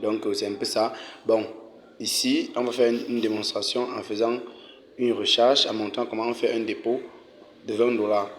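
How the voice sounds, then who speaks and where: A man hosting a casual tutorial, walking viewers through each step, often saying "ok" and "euh" and clearing his throat. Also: lowish voice, level tone, normal speed. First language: French. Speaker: channel host giving a tutorial. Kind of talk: casual screen-recorded walkthrough.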